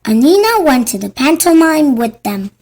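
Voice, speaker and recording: A woman narrating a children's storybook in a simple, deliberate read-aloud style. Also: high-pitched, very animated, darkish tone.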